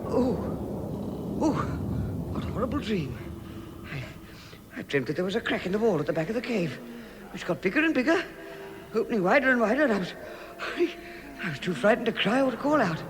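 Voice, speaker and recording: A man's voice: sits high, very animated, fairly quick, long pauses. A man playing a timid, anxious hobbit who frets and repeats himself in a vintage radio drama. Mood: panicked. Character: timid, weary, anxious hobbit, small adult male. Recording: very noisy vintage radio recording.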